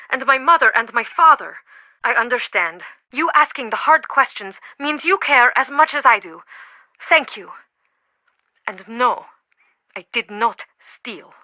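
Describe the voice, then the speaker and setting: A devoted, easily affronted woman who runs a museum in a video game. Her voice is strikingly bright and crisp-edged, set fairly high, and recorded dry and close. She phrases deliberately and emphatically, with earnest dramatic weight. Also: no room sound, full character voice. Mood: wounded, heartfelt, then warm, grateful.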